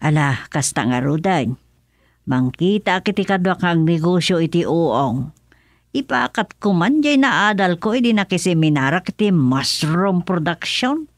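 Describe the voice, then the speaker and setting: A woman's voice: lowish voice, animated, a little slow, dry recording, studio quality. A woman with an Ilocano accent playing a thrifty, business-minded middle-aged mother in a radio comedy drama, chatty and given to musing aloud. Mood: practical, persuasive.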